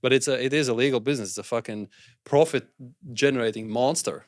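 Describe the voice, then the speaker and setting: Fast-talking blunt Latvian-accented man. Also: mid-range voice, no room sound, studio quality. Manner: blunt, swearing, animated interviewer. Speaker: podcast host. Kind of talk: casual podcast conversation.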